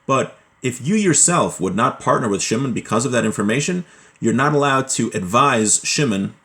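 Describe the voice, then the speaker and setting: A man's voice: medium pitch, normal speed. Man explaining laws from a Hebrew text. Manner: reads Hebrew passages, then explains them plainly in English; relaxed, with frequent fillers and self-corrections. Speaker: Torah teacher.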